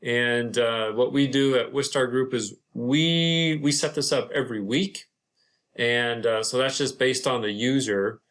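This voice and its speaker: A man narrating an informal screen-share software tutorial in a halting, unscripted, explanatory way. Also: medium pitch, fairly even intonation. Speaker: property manager presenting a software tutorial.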